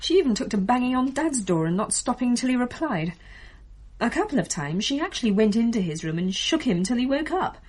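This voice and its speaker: A woman's voice: a little high, sing-song, brisk, darkish tone, breathy edge. An actress playing an exasperated, shaken daughter who recounts her family's haunting vividly and emphatically in a radio drama.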